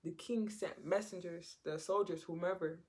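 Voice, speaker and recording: A woman's voice: fairly low pitch, fairly even intonation, moderate pace, darkish tone. A woman giving an informal Bible teaching in a conversational way, repeating her phrases and acting out quotes. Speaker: preacher.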